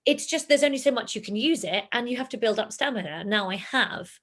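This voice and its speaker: A woman, a singing teacher, talking in a relaxed interview and acting out her pupils' lines. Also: on the high side, brisk. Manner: animated, acts out pupils in quoted voices. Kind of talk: casual interview chat.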